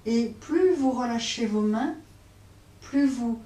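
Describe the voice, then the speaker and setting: A woman teaching yoga nidra, guiding relaxation in a hesitant way, often pausing and repeating phrases. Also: fairly high pitch, lively intonation, warm tone. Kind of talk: guided relaxation instruction. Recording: roomy, distant mic, faint noise.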